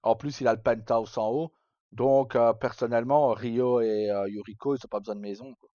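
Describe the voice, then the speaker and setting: A man's voice: medium pitch, steady tone, moderate pace, dark tone, no room sound, dull audio. A man hosting a livestream, chatting informally and casually with some mild swearing. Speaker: livestream host. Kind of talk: casual chat.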